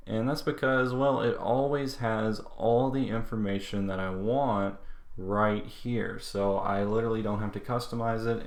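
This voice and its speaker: A man hosting a casual tech walkthrough, explaining features in an informal way. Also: on the low side. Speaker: tech video host. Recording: echoey, reverberant room.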